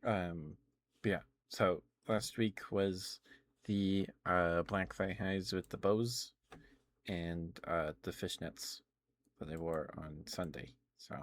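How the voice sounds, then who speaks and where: A man hosting an ASMR livestream, chatting casually and playfully with viewers in a halting way. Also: sits low, fairly even intonation. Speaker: livestream host.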